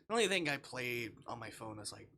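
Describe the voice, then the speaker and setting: A man's voice: medium pitch, big pitch swings, fairly quick, sharp tone, a little hiss or hum. A man live-streaming a game, reading a visual novel aloud dramatically with playful asides.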